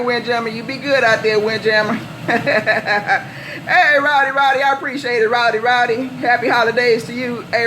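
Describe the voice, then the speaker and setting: An American woman working as a CB radio operator, bantering in folksy slang and repeating calls, handles and sign-offs. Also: a little high. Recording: noisy live CB radio setup.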